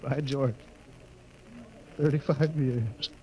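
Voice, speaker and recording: An American actor playing a stern middle-aged rocket captain in an old-time radio drama, authoritative but stammering when moved. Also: male voice, mid-range voice, very slow, heavy background noise. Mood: happy, nostalgic.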